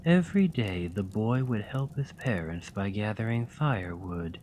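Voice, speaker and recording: Man, low voice, unhurried and soothing, ASMR storytelling. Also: a little hiss or hum, low-quality recording. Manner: soft, gentle, soothing reading. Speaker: ASMR roleplay partner reading a story.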